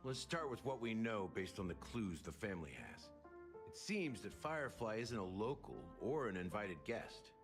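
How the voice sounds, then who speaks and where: Aging, cool bartender-investigator for a game: low, wry, expressive storytelling over prominent music. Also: male voice, normal speed. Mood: grave, wry. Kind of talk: scripted video game dialogue.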